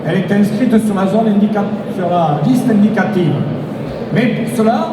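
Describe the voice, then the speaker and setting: A man's voice: high-pitched, moderate pace. A man, a local elected official, giving an unscripted public speech in a blunt, colloquial, emphatic way. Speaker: local elected official, vice-president of the mayors' association. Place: large exhibition hall at a public event. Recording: echoey hall, distant mic, background noise.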